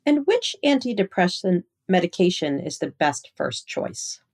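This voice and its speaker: A woman's voice: fairly low pitch, sing-song, measured pace, a little mellow. A woman interviewing a medical expert, putting structured questions in a polite, orderly way. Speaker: interviewer, medical journal editor.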